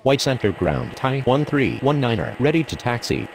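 Man playing formal sim-game aviation radio operator; gravelly, measured, slight noise behind. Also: medium pitch, animated. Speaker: pilot and air traffic controller radio voice. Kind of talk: scripted aviation radio phraseology.

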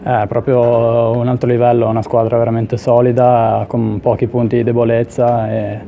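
A man's voice: mid-range voice, monotone, normal speed, creaky, faint music behind. A man in his twenties, a footballer answering questions in a post-match interview. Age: 20s. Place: indoor press area in front of sponsor backdrop. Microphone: handheld interview mic. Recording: slightly dull, noisy interview audio.

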